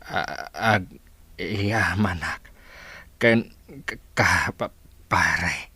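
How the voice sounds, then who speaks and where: An actor playing a weak male stroke patient with broken, breathy, stammering speech in a radio drama. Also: low voice, extremely slow, sharp tone, no room sound, full character voice. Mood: weak, labored. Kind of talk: Filipino radio drama acting.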